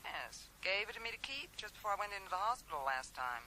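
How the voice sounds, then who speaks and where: An actress playing a chatty, candid widow answering questions on the phone in a radio drama. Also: female voice, medium pitch, very animated, fast talker, sharp tone, a little hiss or hum, poor audio. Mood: earnest. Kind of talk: 1980s radio drama acting.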